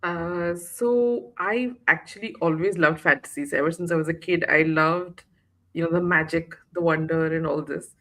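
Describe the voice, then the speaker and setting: Woman author from the uae, lowish voice, lots of ums and restarts, video call chat. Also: moderate pace, fairly bright tone. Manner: hesitant, many fillers and restarts. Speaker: guest author. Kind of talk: casual interview chat.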